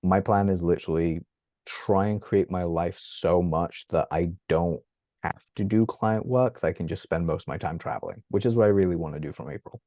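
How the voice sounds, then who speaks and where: A man, chatting as an interview guest from his bedroom at home, animated and laughing often. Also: sits low, normal speed, dry recording. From England. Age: 30s. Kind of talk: casual interview chat. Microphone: video call.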